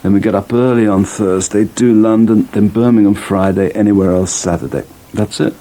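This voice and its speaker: An actor in a British radio soap playing a laid-back ex-hippie organic produce dealer who talks in casual slang. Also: male voice, fairly low pitch, fairly even intonation, moderate pace, mellow, natural voice. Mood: breezy, confident.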